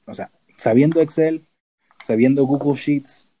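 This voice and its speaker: A man with a northern Mexican accent speaks in a mellow, relaxed tone at a middling pitch. He explains things casually and informally as a podcast guest, dropping in the odd English term. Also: a little slow. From Tijuana, Mexico. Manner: informal, sprinkles in English terms. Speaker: podcast guest, data analyst and researcher.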